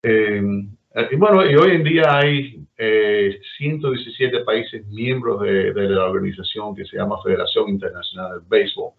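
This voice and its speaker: Middle-aged Cuban man, Cuban accent, low voice over a tinny phone line, rambling storyteller. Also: moderate pace, gravelly. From Cuba. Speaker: guest. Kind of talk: casual chat.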